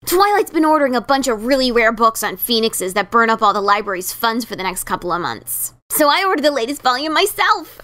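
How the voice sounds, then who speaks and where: A woman acts out a brash young cartoon pegasus mare, pitched high and full of cocky excitement. She boasts and gushes like a devoted fan in an audio story. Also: a little fast, few pauses. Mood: cocky, eager. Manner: boastful, gushing. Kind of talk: dramatic reading of fan fiction.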